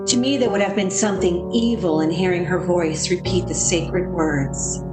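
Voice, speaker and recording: An actress playing an anguished woman haunted by a friend's dark deeds, in dramatic, emphatic 1940s radio style. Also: fairly low pitch, normal speed, natural voice. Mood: haunted, solemn. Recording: roomy echo, music behind. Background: music.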